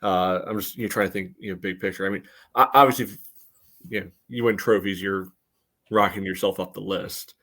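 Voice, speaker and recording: A man co-hosting a casual sports podcast, talking in a halting, hedging, thoughtful way. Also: sits low, fairly even intonation, fairly bright tone. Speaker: podcast co-host, club historian.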